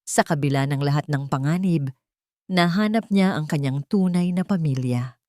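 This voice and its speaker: A woman's voice: sits low, normal speed, mellow, dry recording, studio quality. A woman narrating a scripted dramatic story.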